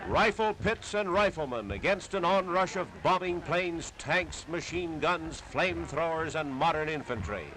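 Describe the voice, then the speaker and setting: A man's voice: on the high side, animated, normal speed, few pauses, background noise. An American man narrating a 1930s newsreel in a dramatic, declamatory manner on an old period soundtrack.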